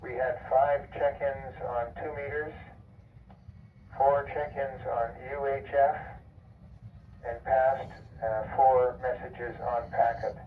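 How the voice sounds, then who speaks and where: A man's voice: medium pitch, flat delivery, slow pace. A man delivers a formal radio check-in report. His voice is narrow and tinny, as if from a small radio speaker, with faint static. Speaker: emergency radio room operator. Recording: muffled distant radio link, faint static.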